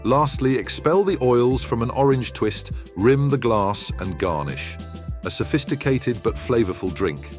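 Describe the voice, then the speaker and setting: A man reading a scripted cocktail recipe segment in a measured way. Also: fairly low pitch. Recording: faint music underneath.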